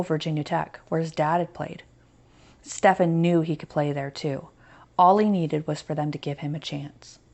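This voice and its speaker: An American woman teaching through a children's story read-aloud, pausing to explain and stress key points. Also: low-pitched, creaky, a little mellow.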